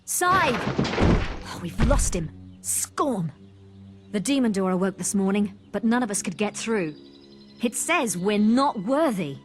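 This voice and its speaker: An actress playing a brisk, practical guild hero in a fantasy game. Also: female voice, medium pitch, big pitch swings, music in the background. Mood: alarmed.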